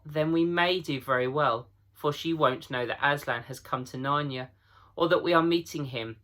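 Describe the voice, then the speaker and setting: A man's voice: fairly high pitch, bright, forceful tone. A man voicing a practical, anxious female beaver in a storybook reading, reasoning carefully and with emphasis.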